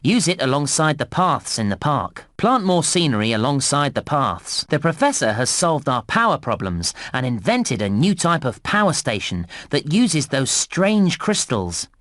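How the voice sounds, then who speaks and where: Cheery theme park guide, children's video game narration, very lively intonation, encouraging, exclamatory, instructive, dry studio sound. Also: male voice, fairly high pitch, moderate pace, few pauses, dry recording. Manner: animated, exclamatory, instructive children's-entertainer delivery.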